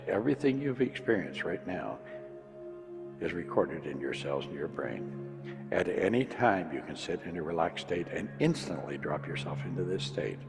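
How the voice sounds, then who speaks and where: Deep gravelly man guiding a hypnosis. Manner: slow, repetitive soothing commands. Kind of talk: guided hypnosis. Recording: long reverb with music behind.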